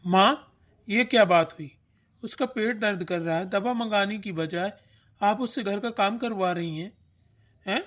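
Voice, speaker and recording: A woman's voice: mid-range voice, measured pace, natural voice. A woman voicing a protective young husband and grown son in a family cartoon, firm and reproachful. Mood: indignant, reproachful. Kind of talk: Hindi family cartoon acting.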